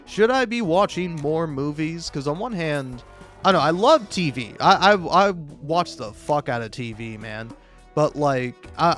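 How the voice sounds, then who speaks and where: An American man from Florida rambling casually as a gaming creator, hesitant and self-deprecating, often stammering and repeating words. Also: on the high side. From Florida, United States. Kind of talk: casual rambling chat over gameplay. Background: music.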